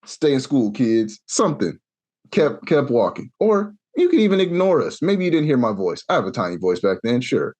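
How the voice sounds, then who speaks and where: A man's voice: medium pitch, normal speed. A man in his thirties co-hosting a podcast, telling a story in casual chat and acting out the lines he quotes. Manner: animated storyteller, acts out quotes with rising exclamations. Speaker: podcast co-host.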